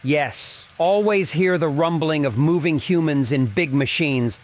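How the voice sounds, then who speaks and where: A man's voice: fairly high pitch, measured pace, lightly acted. A man playing an easygoing, stoic male gnome wagon driver in a dramatized fantasy audio story. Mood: resigned, steady.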